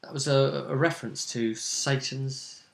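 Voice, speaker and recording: A man's voice: mid-range voice, fairly even intonation, unhurried, creaky. A man casually recapping a role-play game as the session's host.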